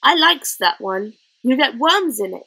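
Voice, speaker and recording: A woman's voice: sits high, expressive, measured pace, sharp tone, slightly rough, exaggerated voice. Woman doing a cheerful small boy who was a rat. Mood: earnest. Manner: chatty, earnest, working-class grammar.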